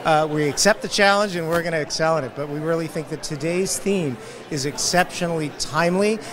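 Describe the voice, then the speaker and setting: A man's voice: fairly high pitch, brisk, a crowd in the background. A middle-aged man speaking earnestly and confidently as a guest at a conference booth. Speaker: guest, development company executive. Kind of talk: conference interview. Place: conference hall booth, indoors. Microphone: handheld mic held by interviewer.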